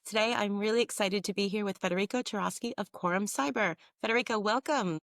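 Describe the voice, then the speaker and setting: A woman's voice: mid-range voice, quick pace, fairly bright tone, no room sound, clean studio sound. A woman hosting an interview in an upbeat, enthusiastic way, asking friendly questions. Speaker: interviewer and host.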